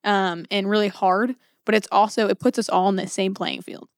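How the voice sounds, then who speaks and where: A woman's voice: medium pitch, fairly even intonation, normal speed, no room sound. Earnest woman in a close, dry recording. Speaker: co-host of a book discussion series.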